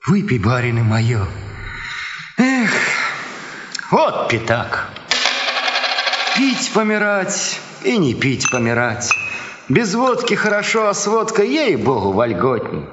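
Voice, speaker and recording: A poor folksy drinker talks in a fairly high voice that swoops wildly, singsong, eager and fatalistic. The theatrical radio drama recording is dull and echoey, with loud noise. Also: male voice, huge pitch swings, slow, long pauses, heavily acted. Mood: eager, rueful.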